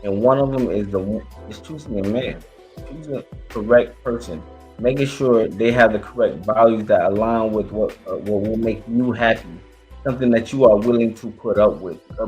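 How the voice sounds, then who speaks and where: Low-voiced man giving emphatic commentary. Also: moderate pace, a little mellow, faint music behind. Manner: emphatic, preachy, repeats himself. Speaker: reaction channel host. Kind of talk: casual commentary on a podcast clip.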